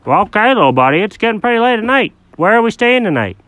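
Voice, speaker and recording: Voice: mid-range voice, big pitch swings, fast talker, a bit bright, no echo, voice pushed up. Storyteller's lightly raised confident dog voice, a breezy know-it-all, bouncy delivery, dry room. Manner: confident, matter-of-fact.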